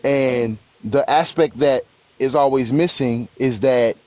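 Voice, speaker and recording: A man's voice: mid-range voice, takes it slowly, darkish tone, no echo, lo-fi sound. A man in his late twenties on a live stream panel, explaining his views earnestly with examples and frequent restarts. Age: 20s. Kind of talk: casual panel talk. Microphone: live stream call.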